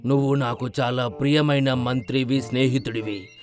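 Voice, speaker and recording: A man's voice: mid-range voice, fairly even intonation, moderate pace, natural voice. Guy voicing a regal lion king in a kids cartoon, confiding, music behind. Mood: pleased, trusting. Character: powerful lion king, trusting toward his crony. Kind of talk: children's cartoon story acting.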